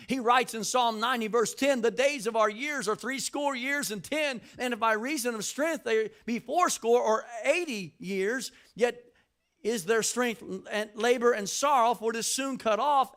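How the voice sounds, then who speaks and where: An older man preaches a sermon in a strikingly high voice. He builds his points by repeating words, then rises into forceful, shouted lines. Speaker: pastor.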